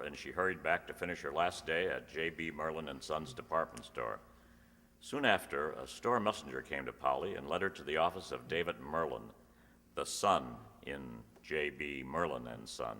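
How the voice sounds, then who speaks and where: Male announcer-narrator for vintage radio comedy: extremely low, punchy tone, hall reverb. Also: pushed and bright. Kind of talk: old-time radio announcing, scripted narration. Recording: reverberant hall stage recording.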